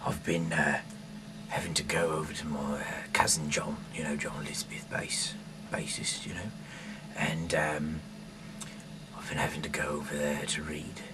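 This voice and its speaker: A man reads aloud informally, rambling in a halting, bumbling, irreverent way, in an amateur home recording. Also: a bass voice, completely flat delivery, noisy background. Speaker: amateur reader of scripture, self-styled rock singer.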